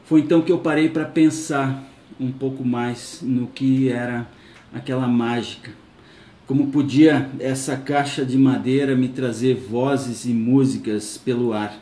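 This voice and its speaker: Mellow southern Brazilian man, echoey room. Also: mid-range voice, fairly even intonation, measured pace. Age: 50s. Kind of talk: personal recollection, storytelling.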